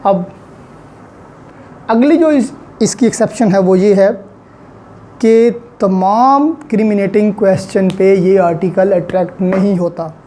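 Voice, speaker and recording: A man with a Pakistani accent giving an explanatory law lecture, deliberately repeating and stressing key points and mixing in English legal terms. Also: sits high, mellow, a little hiss or hum.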